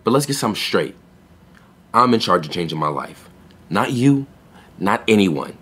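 An actor playing a proud, guarded young pet store clerk in an audio drama, speaking bluntly and sardonically. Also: male voice, lowish voice, sing-song, moderate pace, fairly bright tone, slightly rough, heavily acted. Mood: blunt, assertive. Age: young adult. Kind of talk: naturalistic audio drama acting.

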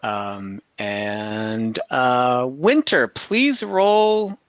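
A man narrating an improvised tabletop game as game master, in a hesitant, halting manner. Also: mid-range voice, expressive, clean tone, dry recording. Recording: dull lo-fi call audio.

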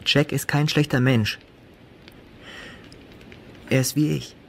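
An actor playing a sullen, defensive young son in a radio drama, evasive and defiant in short replies. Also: male voice, mid-range voice, fairly even intonation, slow, gravelly, slight background noise. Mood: pleading, defiant. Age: young adult. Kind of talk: German radio drama acting.

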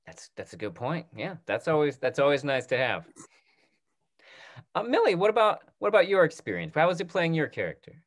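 A man in his thirties moderates an informal online panel. His voice is a little high for a man and moves around a lot in pitch. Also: big pitch swings, brisk. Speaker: panel host. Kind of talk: casual moderated Q&A. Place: indoor, on a video call. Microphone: video call microphone.

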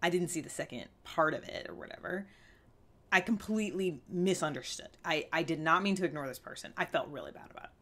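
A woman's voice: deep voice, fast, pushed and bright. A woman in her thirties giving a candid self-help talk to camera, earnest and emphatic, often checking in with the listener. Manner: earnest, conversational, emphatic with frequent check-in questions. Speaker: vlog host. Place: small indoor room with fabric backdrop.

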